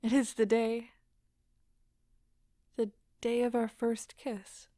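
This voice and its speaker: An actress playing an anguished, remorseful but defiant wife in an audio drama, speaking in an impassioned, deliberate, theatrical way. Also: female voice, on the high side, flat delivery, dark tone. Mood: cold, confessional. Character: anguished wife confessing to her husband, remorseful, wounded, bitterly defiant.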